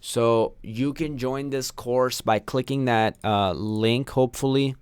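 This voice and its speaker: A young man who grew up in Pakistan chats casually through a livestream mic, greeting viewers by name and answering their questions as they come in. His voice is clean and smooth, sitting at a middle pitch for a man. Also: steady tone, slow, darkish tone. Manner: chatty, reads viewer names and questions aloud. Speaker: online course instructor.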